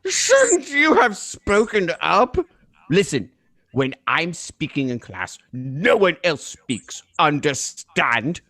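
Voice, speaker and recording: A man's voice: fairly high pitch, wildly swooping pitch, takes it slowly, pushed and bright, no echo, heavily acted. A man playing a menacing schoolboy bully who sneers and mocks in a script reading of a radio drama. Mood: mocking, threatening.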